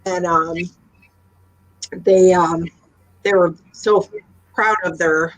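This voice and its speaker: A woman's voice: lowish voice, fairly even intonation, takes it slowly, highs cut off. A woman in her fifties chatting casually, telling everyday anecdotes in a talkative way. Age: 50s. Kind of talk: casual chat.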